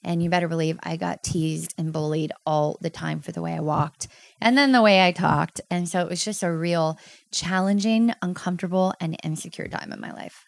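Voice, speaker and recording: A woman's voice: lowish voice, moderate pace, gravelly, no room sound. A woman in her thirties, a podcast guest, telling her personal story in an earnest, heartfelt way. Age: 30s. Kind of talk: candid personal interview.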